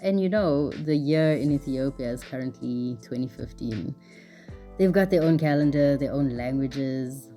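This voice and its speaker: Young woman vlogger, very deep mellow voice, chatty, filler-heavy, laughs easily, soft music under. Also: a little slow. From South Africa. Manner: chatty, lots of "like", laughs often. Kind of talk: casual travel vlog narration.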